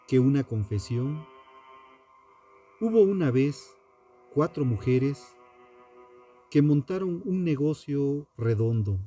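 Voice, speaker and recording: A man, a podcast host delivering scripted true-crime narration in a dramatic, deliberate way with pauses. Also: mid-range voice, warm tone.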